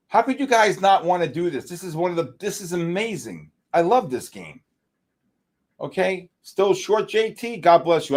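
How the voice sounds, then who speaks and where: An excitable middle-aged man runs a live trading stream. His voice sits a bit high for a man, and he jokes and hypes up the viewers. He keeps up a casual, fast-changing chatter. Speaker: livestream host.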